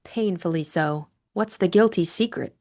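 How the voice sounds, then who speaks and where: Woman acting a brisk, headstrong young lady. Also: fairly low pitch, dark tone, close to an everyday voice. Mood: teasing, impatient. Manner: adamant, probing. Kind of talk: audiobook character acting.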